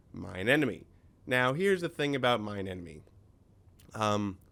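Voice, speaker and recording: This is a man with a somewhat low voice, still a little scratchy as he gets over a cold. He talks through game gear in a loose, rambling way, full of ums and half-finished words. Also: fairly bright tone, smooth. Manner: rambling, many ums and self-corrections. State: slightly scratchy voice after a cold. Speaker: gaming video host. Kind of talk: casual let's-play commentary explaining game gear.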